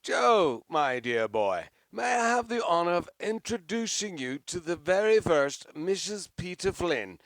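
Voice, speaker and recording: High, swooping, pompous stage actor. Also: male voice, very animated, measured pace, few pauses, a bit bright, no echo, heavily acted. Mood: delighted, grand. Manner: grandiloquent, pompous. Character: pompous, flamboyant vain stage actor, breezily charming and careless. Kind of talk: theatrical radio play acting.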